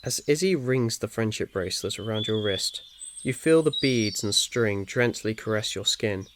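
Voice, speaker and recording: A man's voice: lowish voice, expressive, normal speed. A man reading scripted second-person story narration in an ASMR style. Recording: faint noise with birdsong behind.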